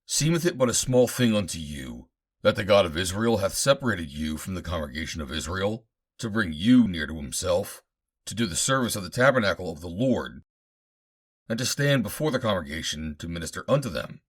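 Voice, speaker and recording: A man's voice: deep voice, very animated, fairly quick. A man voicing a stern, indignant prophet in a scripture reading, declamatory and emphatic. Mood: reproachful. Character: stern male Hebrew prophet, indignant and commanding.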